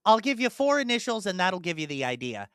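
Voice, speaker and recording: A young man co-hosts a casual podcast, talking eagerly and circling back through his thoughts. His voice is bright and crisp, a little higher than most men's. The sound is close and dry. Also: sing-song, fairly quick, no echo. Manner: enthusiastic, rambling with restarts. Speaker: podcast co-host. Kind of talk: casual chat.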